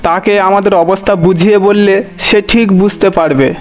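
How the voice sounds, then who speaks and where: A man's voice: high-pitched, a little fast, soft background music, natural voice. A man voicing a poor, worried, forgiving village father in a cartoon, with a mild, resigned way of talking. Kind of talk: Bengali cartoon voice acting.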